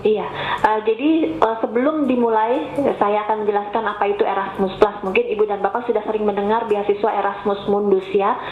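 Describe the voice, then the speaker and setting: A woman's voice: mid-range voice, steady tone, a little fast, a little hiss or hum. A woman with a cough giving a patient, explanatory webinar presentation over a remote call. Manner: patient, explanatory, addresses audience politely. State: has a cough. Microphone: remote call mic. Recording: tinny, echoey online call audio.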